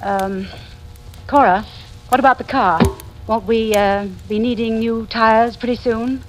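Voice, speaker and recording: A woman's voice: a little high, steady tone, background noise, close to an everyday voice. An actress playing an anxious, hesitant middle-aged mother turned amateur sleuth in a 1940s radio drama, both narrating and speaking in scenes. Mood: uneasy probing. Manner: halting, hesitant, uneasy. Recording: vintage radio recording with music.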